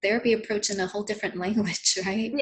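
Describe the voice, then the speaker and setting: A woman's voice: medium pitch, steady tone, quick pace, low-quality recording. A woman who grew up speaking Vietnamese, hosting a therapy training webinar in a friendly, encouraging manner over a video call. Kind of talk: webinar wrap-up chat.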